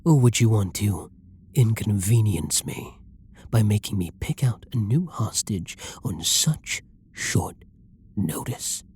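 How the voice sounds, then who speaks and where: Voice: medium pitch, animated, takes it slowly, a little mellow, a little hiss or hum. An actor voicing a flirty, possessive villain who menaces and teases a hostage in an ASMR roleplay, domineering and often dropping into whispers. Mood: menacing, teasing. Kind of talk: roleplay ASMR audio drama monologue.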